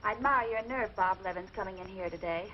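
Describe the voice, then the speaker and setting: An actress playing a no-nonsense, dryly exasperated secretary with an American accent in a 1940s comedy. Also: female voice, mid-range voice, fast, close to an everyday voice. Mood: sharp. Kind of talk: 1940s comedy film acting. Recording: muffled vintage film soundtrack, faint noise.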